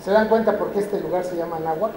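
A man in his fifties from Mexico lectures a roomful of teachers, lively and questioning, pressing every point. His voice is mellow and dark. It rings out through a large hall with a long echo, caught by a camera some way off. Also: high voice, fast, a little hiss or hum. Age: 50s. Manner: lively, asks the audience questions and drives points home. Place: large roofed hall with metal roof beams. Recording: echoey hall, camera at a distance.

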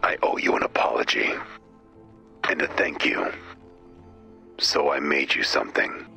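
Very deep, gravelly brooding vigilante. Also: male voice, a little slow, sharp tone, soft background music, exaggerated voice. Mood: terse, secretive. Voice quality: gravelly, whispery growl. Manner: terse, grim, melodramatic. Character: brooding, vengeful, mysterious cloaked male vigilante antihero. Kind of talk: video game character dialogue.

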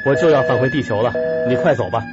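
A man's voice: mid-range voice, fast, natural voice. An actor playing an anguished, defiant man in a Chinese sci-fi radio drama. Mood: anguished, urgent. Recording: muffled audio with music behind.

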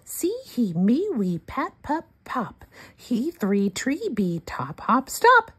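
Very slow, expressive woman reading aloud. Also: on the high side, breathy edge. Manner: deliberate, word-by-word, expressive delivery for early readers. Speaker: children's read-along host. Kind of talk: read-aloud of a rhyming picture book for young children.

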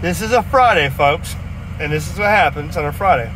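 A man chatting casually in a folksy, slangy, animated way, recorded inside a vehicle. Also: on the high side, a little fast. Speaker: vlogger chatting on CB radio. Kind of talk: casual chat. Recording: faint vehicle noise.

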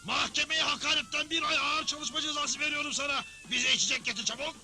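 A man's voice: very high voice, fairly even intonation, quick pace, music in the background. A man playing a domineering older Old West judge in a cartoon dub, blustering and theatrical as he revels in unfair law. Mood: smug, bossy. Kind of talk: dubbed cartoon acting.

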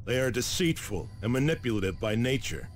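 A man playing a steady space marine sergeant in a video game, formal and clipped. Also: medium pitch, a little fast, a little hiss or hum, natural voice. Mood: composed, businesslike. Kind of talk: video game character acting. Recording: loud music and battle behind.

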